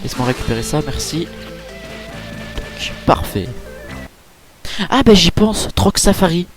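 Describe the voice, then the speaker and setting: A man giving casual, chatty let's-play commentary over a game, full of fillers and quick reactions. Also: on the high side, sing-song. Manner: chatty, filler-heavy, easily impressed. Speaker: gaming YouTuber. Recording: game music playing behind.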